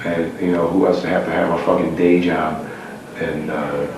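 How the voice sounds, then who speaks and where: A man's voice: level tone, moderate pace. A young man fronting a band, talking bluntly and with lots of swearing in a casual interview, taped from a distance in an echoey, noisy room. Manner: blunt, profane, says he has a very low voice.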